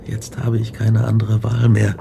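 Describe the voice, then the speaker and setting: An actor playing a tormented, guilt-ridden young scientist in a German radio drama, grave and intense. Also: male voice, fairly low pitch, monotone, measured pace, vocal fry, background noise. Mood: anguished, remorseful. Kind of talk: German radio drama acting, narration and dialogue.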